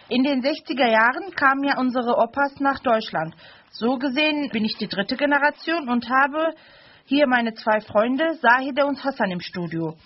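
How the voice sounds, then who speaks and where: A young woman in her late twenties hosts a studio chat, hesitating often as she speaks. Her voice sits fairly high, and the recording sounds dull and lo-fi. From Germany. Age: 20s. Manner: hesitant, with frequent fillers. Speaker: radio show host.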